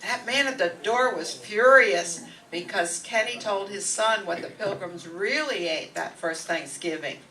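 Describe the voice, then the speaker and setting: Actress as a bright-voiced, practical wife. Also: female voice, medium pitch, a little slow, hardly any pauses. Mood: mildly exasperated. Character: practical, soothing wife managing her household. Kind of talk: radio comedy play acting. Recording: noisy, distant stage microphone.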